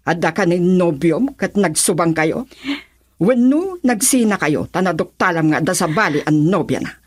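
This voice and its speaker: A woman's voice: on the low side, expressive, normal speed, no echo, studio quality. An actress with an Ilocano Filipino accent playing a stern, probing mother in a radio drama. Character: stern, probing mother questioning her daughter.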